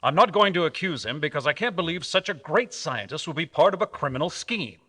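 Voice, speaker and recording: A man plays a resolute, fair-minded hero of a radio adventure serial. His voice is bright and forceful, set a little high, and he reasons firmly and steadily. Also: lively intonation, fairly quick, husky, big-room sound, full character voice. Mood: calm, resolute. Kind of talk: old-time radio acting.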